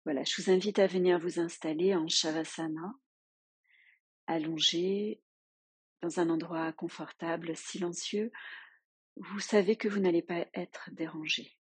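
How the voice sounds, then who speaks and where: A woman leading a guided yoga nidra relaxation as a teacher, giving soothing, unhurried instructions with long pauses. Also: on the low side, steady tone, husky, no room sound.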